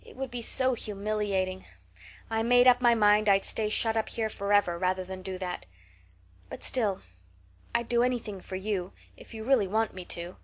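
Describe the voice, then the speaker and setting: A woman's voice: medium pitch, fairly even intonation, moderate pace, close to an everyday voice. A woman voicing a young, impulsive and imaginative orphan girl who speaks in a theatrical, tremulous, pleading way. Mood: thoughtful.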